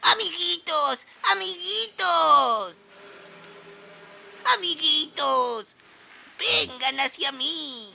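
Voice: high-pitched, animated, very slow, vocal fry, long pauses, sharp tone, exaggerated voice, low-quality recording. A performer voicing a greedy, gleeful mutant creature politician who chants and calls out in a radio satire. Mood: scheming, rallying. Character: mutated gremlin-like politician creature, greedy, gleeful, rallying cronies.

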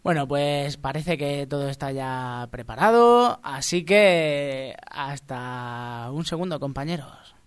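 High-pitched Spanish male radio host. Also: animated, slow pace, smooth. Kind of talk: casual comedy radio presenting.

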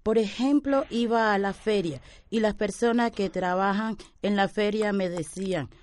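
Woman in an interview, soft warm voice, keeps repeating herself as she thinks it over. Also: medium pitch, steady tone, moderate pace. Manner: reflective, circling back on the same phrases. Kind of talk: interview testimony.

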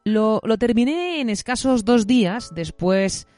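A woman presenting a literary podcast in an expressive, effusive, semi-scripted way. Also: fairly high pitch, measured pace, soft background music.

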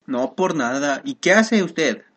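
A woman playing a naive, forward rustic man in a radio soap, with slow, drawn-out, countrified speech. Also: deep voice, animated, heavily acted. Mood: naive, defensive. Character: clumsy rustic male mule buyer, naively forward. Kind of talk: Spanish-language radio soap acting.

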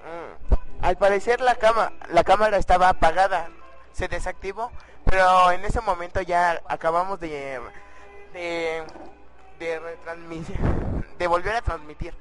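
Co-announcer with a higher voice. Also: male voice, steady tone, unhurried, a bit bright, soft background music, poor audio. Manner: explains technical problems, apologetic. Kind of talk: live event commentary.

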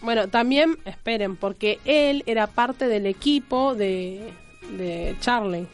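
Higher-voiced Argentine woman co-hosting radio. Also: takes it slowly, faint music behind. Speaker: radio co-host. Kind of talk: casual chat on a radio magazine show.

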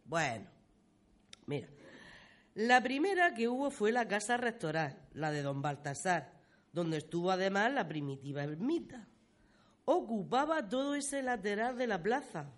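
A woman's voice: mid-range voice, animated, a little slow, natural voice. A woman playing a proud village grandmother reminiscing in a radio play, with a Murcian accent and a folksy, exclamatory way of talking. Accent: Murcian Spanish. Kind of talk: radio play storytelling dialogue.

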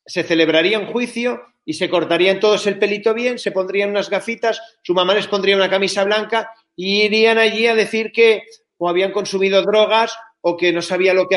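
Guy from barcelona w/ barcelona spanish accent, bit high voice, blunt sweary opinionated interview chat. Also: fairly bright tone. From Barcelona, Spain. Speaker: interview guest. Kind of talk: casual, opinionated interview talk.

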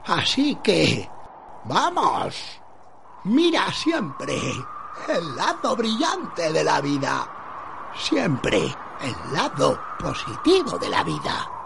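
A performer voicing a manic, cheerful condemned philosopher mocking death in a theatrical radio parody, exclaiming and goading with drawn-out phrases. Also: mid-range voice, creaky, background noise, heavily acted. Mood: rousing, goading.

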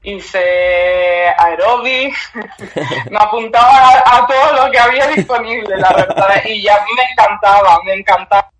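A woman's voice: medium pitch, steady tone, unhurried, crowd noise. A young woman, born in Cuba and raised in Spain, answering interview questions in a chatty, casual way and laughing often. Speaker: guest volleyball player. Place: remote call from abroad. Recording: dull lo-fi remote line.

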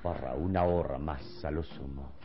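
An actor playing a serene, heroic man in a radio serial, speaking in a solemn, measured, dramatic way. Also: a bass voice, steady tone. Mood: grave, explaining. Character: serene, heroic adult man consoling his young companion. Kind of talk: Spanish-language radio serial acting. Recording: dull old radio recording with music.

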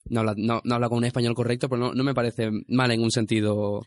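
Male panelist, lowish and fairly flat voice, casual debating style, dry studio recording. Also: quick pace, dry recording. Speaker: podcast panelist.

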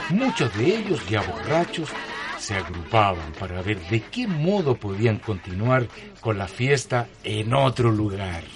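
Animated low-voiced Chilean male storyteller. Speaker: narrator. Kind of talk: scripted dramatic storytelling narration.

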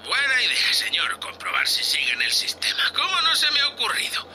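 A man's voice: very high-pitched, wildly swooping pitch, brisk, sharp tone, soft background music, heavily acted. A man playing a tipsy, cheeky young spaceship co-pilot in an audio drama, giggly and rambling. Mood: tipsy, teasing. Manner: slurred, giggly, rambling drunken talk. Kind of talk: science fiction audio drama acting.

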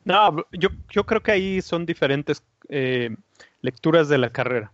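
A man with a fairly high voice explains a racing incident step by step, measured and hesitant, often pausing on fillers. The sound is very dry and a bit dull, close and lo-fi. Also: expressive, a bit bright, dry recording. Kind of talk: casual motorsport analysis.

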